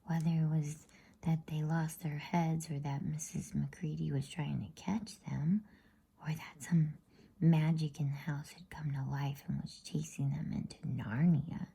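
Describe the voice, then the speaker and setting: Slow, breathy whispering woman. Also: low-pitched, steady tone. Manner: soothing, hushed, drawn-out delivery. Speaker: storyteller. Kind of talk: whispered ASMR bedtime story reading.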